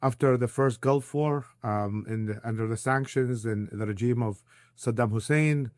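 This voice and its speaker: A man in his thirties, originally from Iraq, talking as a podcast guest over a video call. His voice sits at an ordinary middle pitch and sounds dry and close. He speaks earnestly and thoughtfully, often pausing with fillers and restarting his sentences. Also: a little mellow, no room sound. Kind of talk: conversational interview answers. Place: remote call.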